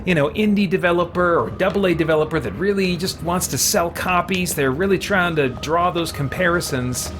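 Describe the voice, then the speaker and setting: A man's voice: high voice, a little fast, few pauses. Musing man streaming a game over music. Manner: musing, rambling, thinking aloud. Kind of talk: casual live-stream chat and game commentary. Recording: music playing behind the voice.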